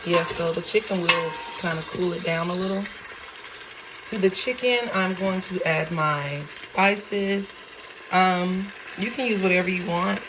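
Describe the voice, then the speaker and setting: A hoarse woman who has lost her voice, casually and tiredly talking through a recipe in her home kitchen. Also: steady tone, a little slow, noisy background. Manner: tired, low-key, chatty with fillers. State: hoarse, lost voice from sinus infection. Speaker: home cook vlogger. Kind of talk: casual cooking demonstration. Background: sizzling and frying in a pan.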